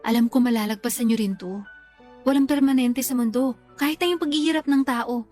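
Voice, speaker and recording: A woman's voice: fairly high pitch, brisk, darkish tone, close to an everyday voice. A Filipino woman acting in a radio drama as a level-headed, supportive friend who reassures and gives advice. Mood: gently advising. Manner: reassuring, advising. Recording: background music under the voice.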